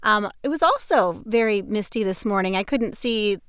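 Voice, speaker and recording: A woman's voice: medium pitch, animated, normal speed, fairly bright tone, clean tone, no echo. A woman voice actor from the Pacific Northwest, chatting casually in an enthusiastic, self-deprecating way on a close mic. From Pacific Northwest, United States. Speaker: voice actor guest. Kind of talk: casual chat. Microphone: close studio mic on a video call.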